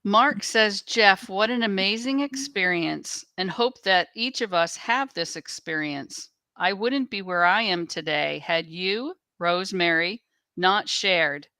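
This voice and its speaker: A woman's voice: on the low side, sharp tone, clean tone, no echo. A woman assisting on a show, reading viewers' messages aloud.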